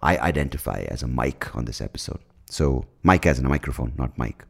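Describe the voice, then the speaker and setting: Deep-voiced man in a profane, sarcastic rant. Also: expressive, a little fast, creaky. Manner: blunt, profane and sarcastic, with rambling asides; breaks into mocking shouts and laughs at his own jokes. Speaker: podcast host. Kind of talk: casual solo podcast monologue.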